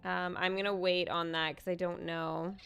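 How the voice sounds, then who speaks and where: A woman hosting a live game stream in casual, excitable chat, thinking aloud as she goes. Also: fairly low pitch, normal speed, vocal fry, faint music behind. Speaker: livestream host, gamer.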